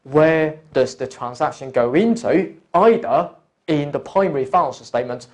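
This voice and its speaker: A man working as an online course tutor, explaining step by step in a deliberate, instructional lecture style and addressing the learner directly. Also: on the high side. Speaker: accounting exam course tutor. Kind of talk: explanatory lecture, talking through examples.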